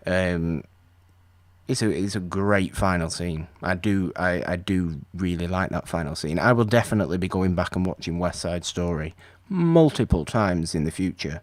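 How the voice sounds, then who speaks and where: A man's voice: low-pitched, expressive, moderate pace, dry recording. A man co-hosting a podcast, chatting casually about an old film and rambling with restarts. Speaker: podcast co-host.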